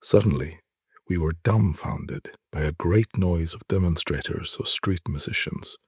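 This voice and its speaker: Husky, low-voiced man reading literary fiction. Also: unhurried, dry recording, clean studio sound. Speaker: narrator reading short stories.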